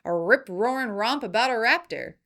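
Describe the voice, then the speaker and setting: Woman, news host, high voice, lively swooping intonation, playful, emphatic, scripted commentary. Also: moderate pace. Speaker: host of a film news show. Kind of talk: scripted entertainment news commentary with jokey asides.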